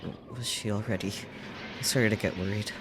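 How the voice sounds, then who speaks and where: Low-voiced man narrating, noisy background. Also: steady tone, a little fast. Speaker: streamer reading a visual novel aloud. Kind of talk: dramatic read-aloud narration of a game script.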